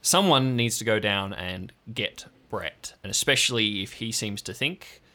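A man's voice: fairly low pitch, animated, bright, forceful tone. Man playing a blunt, exasperated woman ranger boss. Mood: grave, businesslike. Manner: blunt, authoritative, halting. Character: brusque, exasperated woman ranger confined to hospital bed. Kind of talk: improvised audio drama acting.

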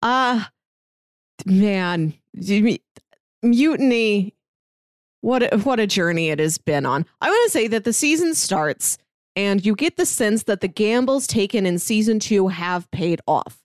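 A woman's voice: mid-range voice, a little slow, dry recording. Laughing woman co-host, dry sound. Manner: animated, laughs often while talking. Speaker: podcast co-host. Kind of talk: casual podcast discussion.

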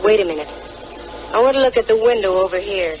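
An actress playing a brisk, arch, self-assured female private eye in a vintage radio detective drama. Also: medium pitch, animated, over music, close to an everyday voice. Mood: cool, teasing. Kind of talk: 1940s-50s radio detective drama, acting and narrating. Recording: muffled, noisy old radio recording.

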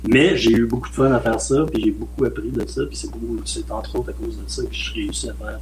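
Relaxed Québécois man near fifty. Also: mid-range voice, fast talker, background noise. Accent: Québécois French. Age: late 40s. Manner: relaxed, rambling, frequent "euh" and "mettons". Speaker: film director guest. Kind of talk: casual podcast interview.

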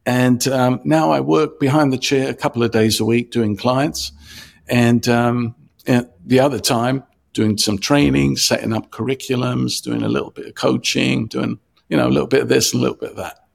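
A man, a hairdresser who chats as a podcast guest in a friendly, relaxed way with frequent fillers. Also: medium pitch, normal speed, creaky. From Cyprus, raised in London. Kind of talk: casual interview chat.

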